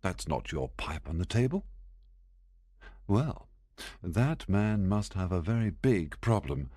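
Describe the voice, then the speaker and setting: A man voicing a clever, confident male detective in a read-aloud story, explaining things in a lively way. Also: low-pitched, slow, no room sound, clean studio sound, exaggerated voice.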